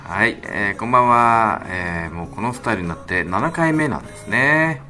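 Man, low voice, highly varied intonation, radio talk, polite explaining, drawn-out hesitations, music behind. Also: expressive, pushed and bright. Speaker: band leader, guest talker on radio show. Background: background music.

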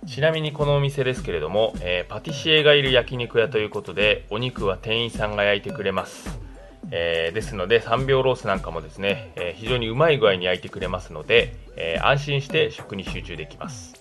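A low-voiced man delivers a radio food segment over faint background music. He speaks politely, like a presenter working from notes, with small filled pauses between phrases. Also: lively intonation, fairly bright tone. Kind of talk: semi-scripted radio food report.

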